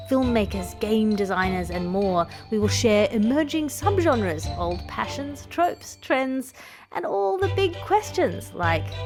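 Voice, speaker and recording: A woman speaking as a podcast host, moving between casual chat and scripted promotion. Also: medium pitch.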